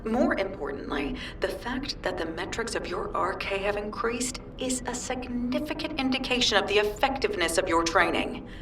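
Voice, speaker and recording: An actress playing an earnest, composed scientist in a video game, speaking formally in measured, clinical phrases. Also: female voice, mid-range voice, animated, a little hiss or hum. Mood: businesslike. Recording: roomy, distant, loud music behind.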